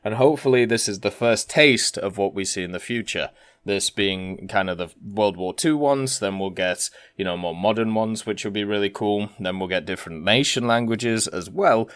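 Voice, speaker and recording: A man's voice: on the low side, moderate pace, fairly bright tone, smooth. A man giving casual, rambling commentary as the host of a gaming video.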